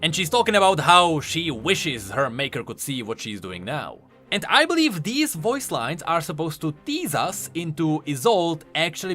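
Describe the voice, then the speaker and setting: A man's voice: fairly high pitch, fairly bright tone. A man giving emphatic, enthusiastic explanatory commentary on video game lore as a voice-over. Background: faint music.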